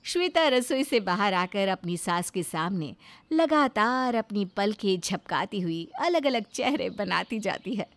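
A woman's voice: medium pitch, lively intonation, measured pace. A woman narrator reading a scripted family story.

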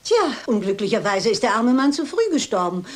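A woman's voice: on the high side, very animated, brisk. An older actress playing a shrewd, determined elderly lady detective, sweetly polite, in a radio play. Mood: probing. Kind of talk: German radio-play acting.

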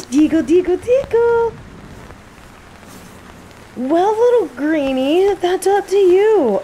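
A woman's voice: high-pitched, rough recording. A woman playing a teasing rival mage who interrogates a captive with casual, coaxing menace in an audio roleplay. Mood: playful, teasing. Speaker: voice actor in scripted audio roleplay. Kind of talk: intimate scripted audio roleplay acting. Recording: steady rain noise behind the voice. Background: rain.

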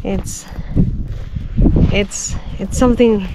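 A woman's voice: medium pitch, steady tone, drawn-out words, heavy background noise. A woman in her thirties filming herself on a road trip, chatting cheerfully to the camera and laughing often. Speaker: travel vlogger. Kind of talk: casual travel vlog to camera. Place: outdoors on a road trip, roadside and campsite. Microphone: handheld camera at arm's length. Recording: music bed underneath.

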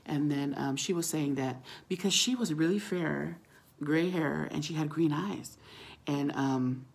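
A middle-aged woman with a husky, gravelly voice, chatting casually to camera and telling a story, acting out the dialogue as she goes. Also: low voice, unhurried. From Samoan, living in California. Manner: chatty, acts out quoted dialogue. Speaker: vlogger. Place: small room at home, indoors. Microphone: phone at arm's length.

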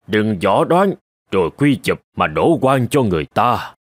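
A man voicing an elderly, wise Buddhist monk in a cartoon folk tale, patient and measured as he teaches. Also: lowish voice, very animated, no echo, studio quality. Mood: calm, instructive. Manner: measured, gently instructive. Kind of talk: animated folk tale dialogue.

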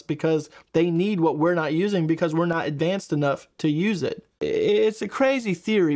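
A man's voice: a little high, darkish tone. A man in his thirties with a nasal, congested voice, chatting casually as he reacts to video clips from his home streaming room. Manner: chatty, speculative, conversational.

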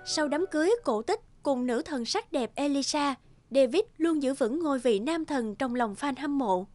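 A woman giving scripted storytelling narration for animated tales. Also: high-pitched, normal speed, soft background music.

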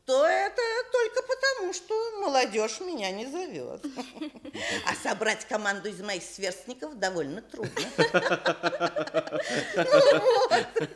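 Lively, high-voiced old widow. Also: female voice. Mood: playful, bubbly. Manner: effusive, genteel, talkative. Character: kindly, lively old professor's widow, chatty grandmother. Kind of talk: Soviet radio theatre acting.

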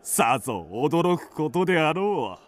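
Wry kabuki troupe member, period radio drama. Theatrical, slow, wide swings in pitch. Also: male voice, big pitch swings. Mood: teasing. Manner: theatrical, archaic speech. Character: wry, teasing old-time kabuki troupe actor.

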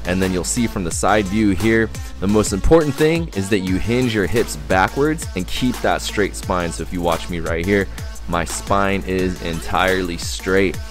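A man's voice: sits low, moderate pace, over music. A man in his thirties giving casual workout instruction in an upbeat coaching tone, from a living room and then a gym. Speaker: fitness vlogger.

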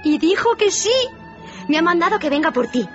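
High-voiced loving little girl. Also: lively intonation, normal speed. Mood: tender excitement. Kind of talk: radio drama acting. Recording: muffled radio drama, faint music.

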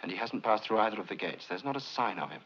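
A man's voice: on the low side, lively intonation, fast talker, a bit bright. A man acting a dutiful, anxious subordinate making a report in a 1950s film. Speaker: film actor. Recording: dull, hissy vintage film soundtrack.

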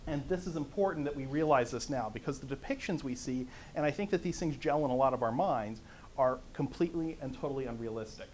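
A middle-aged man with a conversational, lively manner, lecturing to a conference audience in a meeting room. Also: mid-range voice, fast, slight background noise, low-quality recording. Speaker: conference speaker. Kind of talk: lecture on economics.

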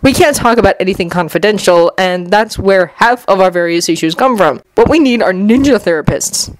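A woman voicing a dry, deadpan adult male ninja in a story reading. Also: mid-range voice, fairly quick, a little hiss or hum, lightly acted, poor audio.

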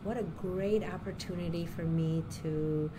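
A woman's voice: on the low side, unhurried. A middle-aged woman from Puerto Rico who grew up near Chicago, answering questions in an oral history interview, talking unhurriedly with many ums and restarts. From Puerto Rico, raised near Chicago. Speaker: interviewee, university diversity director. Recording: steady background noise.

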